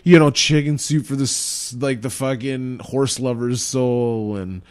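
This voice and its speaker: A man's voice: mid-range voice, steady tone, a little slow, darkish tone, smooth. A young adult man co-hosting a podcast, joking and laughing a lot in casual chat. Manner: joking, laughs often, swears. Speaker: podcast co-host.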